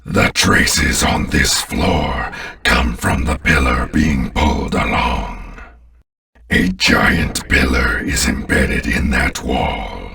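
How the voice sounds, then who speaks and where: An extremely deep, gravelly alien overlord from a video game. He growls each command with imperious contempt and grand menace, in a forceful, distant-sounding voice. The delivery is grandiose and merciless. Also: expressive, slow pace, exaggerated voice. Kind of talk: dramatic video game villain voice acting.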